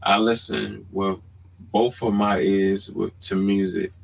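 A very low-pitched man, talking loosely and circling his point as a podcast co-host. He keeps dropping "you know what I mean" between thoughts. A faint hum of noise sits under the recording. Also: flat delivery, slow, vocal fry. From Baltimore, USA. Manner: laid-back, rambling, fills with "you know what I mean". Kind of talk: casual chat.

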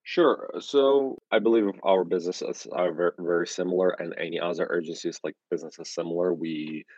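A man's voice: deep voice, normal speed, a little mellow, no echo. A man from Ukraine with a Ukrainian accent, a guest speaking in a casual podcast interview, often restarting and repeating words. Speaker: guest, agency founder. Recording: dull, dry sound.

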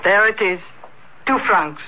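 A woman's voice: mid-range voice, takes it slowly, very noisy, close to an everyday voice. A woman plays a sour, cynical concierge in an old radio play. She speaks tersely, with a dry, dismissive manner. The sound is dull and muffled, like a vintage broadcast. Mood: curt, indifferent. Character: gloomy, curt, cynical female Parisian concierge. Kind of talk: American radio drama acting.

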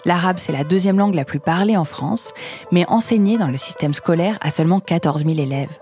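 A woman with a fairly low voice hosting a podcast, delivering a scripted introduction in a measured, composed way. Faint music plays quietly underneath her. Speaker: podcast host. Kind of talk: scripted podcast narration and interviewing.

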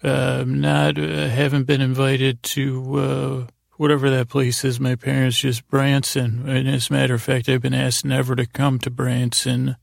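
A man hosting a bedtime podcast in a lulling, soothing, gravelly voice, meandering and halting with long pauses. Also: medium pitch, little pitch movement, dry recording, studio quality. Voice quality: gravelly, creaky. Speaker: podcast host. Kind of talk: rambling bedtime podcast monologue.

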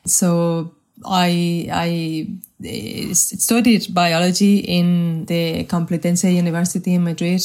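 A woman in her forties from Spain with a Spanish accent, speaking measured and hesitantly as a guest in a podcast interview. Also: on the low side, flat delivery, darkish tone. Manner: measured, hesitant, many restarts. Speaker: guest, health research manager.

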